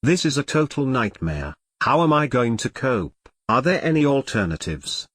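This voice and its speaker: A man's voice: mid-range voice, big pitch swings, moderate pace, fairly bright tone, husky, no room sound. A synthetic male text-to-speech voice playing a melodramatic, easily flustered computer turned elephant in an audio comedy drama. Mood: melodramatic dismay. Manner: theatrical complaining in synthetic text-to-speech delivery.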